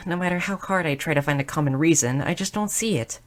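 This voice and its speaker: Woman acting a shy young goblin girl, deep for a female voice, nerdy, excitable, curious, slightly stammering, fantasy audio roleplay. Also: fairly quick, close to an everyday voice. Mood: flustered, earnest. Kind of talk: scripted audio roleplay addressed to the listener.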